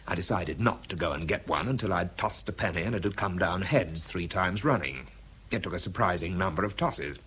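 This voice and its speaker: A man's voice: low-pitched, fairly bright tone, slight background noise. An English actor playing a world-weary, bitter man who struggles with drink, narrating and speaking tersely in a radio drama. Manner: dry, terse, understated.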